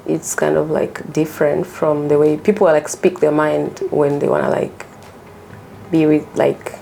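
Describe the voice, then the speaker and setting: A woman's voice: low-pitched, a little slow, creaky, warm tone, slight background noise, low-quality recording. A woman in her thirties from Tanzania, speaking as an interview guest in casual, personal storytelling, informally and repeating herself with lots of filler phrases. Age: 30s. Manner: informal, repeats herself, many "like" and "you know".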